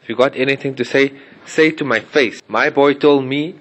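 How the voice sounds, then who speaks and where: A blunt, defiant middle-aged father who brushes off the complaints, voiced by a man. His voice is mid-pitched and plain, and he talks in a confrontational way. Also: brisk, fairly bright tone, natural voice, poor audio. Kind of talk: audio drama acting.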